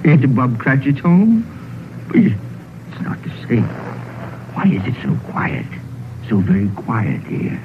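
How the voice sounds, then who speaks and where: An actor playing a fearful, repentant old miser with halting, pleading, dramatic delivery in a vintage radio drama. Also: male voice, medium pitch, huge pitch swings, background noise. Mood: fearful, earnest. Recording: muffled vintage radio broadcast, music behind.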